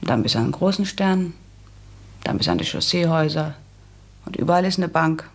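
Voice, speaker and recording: A practical, bossy young woman in a literary radio drama. Her voice is husky with a creaky grain. She lays things out firmly and matter-of-factly, like someone used to giving orders. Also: sits low, expressive, brisk. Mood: patient, explaining. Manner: bossy, matter-of-fact, instructive. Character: brisk, practical young daughter bossing her fiancé. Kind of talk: German literary radio play acting.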